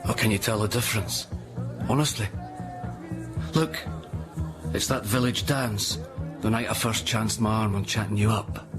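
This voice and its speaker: A man's voice: fairly low pitch, steady tone, moderate pace, lightly acted. An actor playing a persuasive alien in the guise of a woman's dead husband, coaxing and matter-of-fact, in an audio drama. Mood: teasing, familiar. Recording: voice under loud music.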